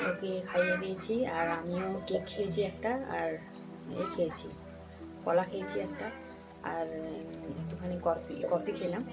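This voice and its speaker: Young woman vlogging into her phone at home, chatty, talks straight to viewers, music going on behind her. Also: fairly low pitch. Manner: chatty, addresses viewers directly, mixes in English words. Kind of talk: casual vlog narration to camera. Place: small home room, indoors. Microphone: phone camera held at arm's length.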